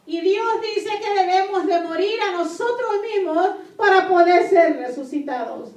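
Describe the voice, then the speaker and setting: A woman's voice: very high voice, a little mellow. A woman pastor preaching a sermon in Spanish as a native speaker, emphatically repeating key phrases and putting questions to her listeners. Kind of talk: sermon with scripture reading. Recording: echoey room, distant microphone.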